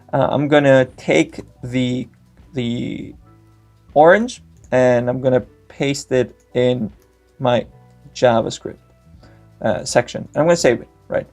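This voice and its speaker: Gravelly young man walking through code. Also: medium pitch, darkish tone. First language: Greek. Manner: step-by-step, frequent "uh" fillers. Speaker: tutorial host. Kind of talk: screen-recorded tech tutorial.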